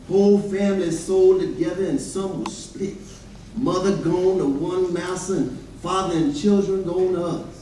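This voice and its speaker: A man's voice: high-pitched, a little mellow, natural voice. A man performing a sorrowful formerly enslaved witness in a folksy readers theater monologue. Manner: folksy dialect storytelling. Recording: echoey chapel from far off, noisy.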